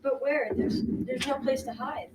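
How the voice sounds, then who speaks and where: A woman's voice: sits high, a little slow, over a noisy crowd. A young teenage girl playing a brave young lad on a quest in a school radio drama, earnest and theatrical. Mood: determined, urgent. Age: young teen. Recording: noisy, distant mic with clicks.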